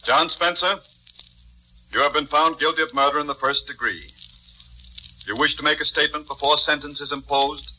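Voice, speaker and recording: An actor playing a stern, unmoved judge in a formal, declamatory 1940s radio drama style. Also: male voice, on the high side, moderate pace, pauses often, slight background noise, rough recording. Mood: stern, cold. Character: stern, unmoved male judge, coldly authoritative. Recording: bright, thin 1940s radio recording.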